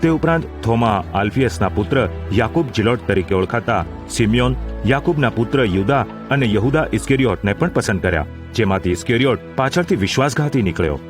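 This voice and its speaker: A man's voice: medium pitch, expressive, fairly quick. A man narrating a scripted audio drama in a formal, literary storytelling manner. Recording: music under the voice.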